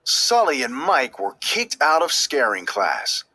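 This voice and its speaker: A man reading a children's story aloud as scripted narration, with expressive, emphatic delivery that draws out key words. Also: medium pitch, takes it slowly, slightly rough. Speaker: storybook reader.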